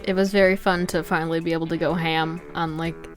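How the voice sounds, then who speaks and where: A woman's voice: deep voice, little pitch movement, fairly quick. A woman giving casual, informal artist commentary in a conversational way. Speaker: artist narrating own speedpaint. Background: background music.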